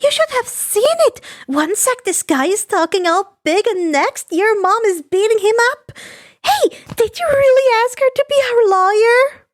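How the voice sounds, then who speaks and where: Woman putting on a squeaky, excitable villain schoolgirl. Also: measured pace. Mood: excited, chattering.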